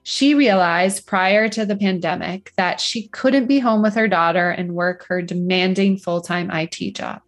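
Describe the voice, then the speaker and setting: A woman's voice: on the low side, unhurried, hardly any pauses. A woman hosting a podcast interview, reading an upbeat introduction and then asking friendly questions. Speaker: podcast host.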